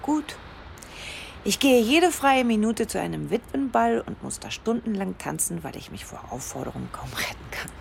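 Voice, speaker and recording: War widow: very creaky, lively pitch swings, weary irony, faint ambience. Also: female voice, normal speed, a little hiss or hum. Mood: sarcastic. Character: bitterly ironic war widow, wistful and weary. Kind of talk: German radio play dialogue.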